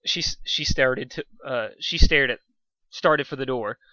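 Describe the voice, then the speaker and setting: A man's voice: mid-range voice, normal speed, bright, forceful tone, dry recording. Guy narrating a fanfic, kinda dull lo-fi mic, dry room, stumbles n corrects himself. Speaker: amateur fan fiction reader. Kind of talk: story narration read aloud.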